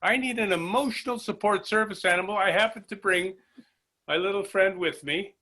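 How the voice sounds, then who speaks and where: Man playing a pompous, high-pitched, bright job candidate. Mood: pompous, earnest. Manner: theatrical, emphatic, drawn-out enunciation. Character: pompous, eccentric, over-cheerful male job candidate. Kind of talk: improvised comedy sketch.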